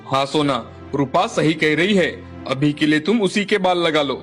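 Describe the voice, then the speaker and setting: Storybook father, played by a man: doting, exclamatory, somewhat high male voice, soft music underneath. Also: normal speed, close to an everyday voice. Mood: reassuring. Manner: exclamatory, repeats words for emphasis. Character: doting, excitable father of two daughters, remorseful and devout.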